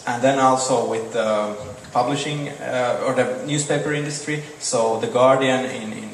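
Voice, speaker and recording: Young Finnish-accented man, halting Q&A answers, distant podium mic in a reverberant hall. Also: mid-range voice, fairly even intonation. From Finland. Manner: halting, repeats words, short answers. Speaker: startup presenter. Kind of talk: Q&A after a product demo. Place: large dark event hall on stage. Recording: echoey hall, distant mic, faint noise.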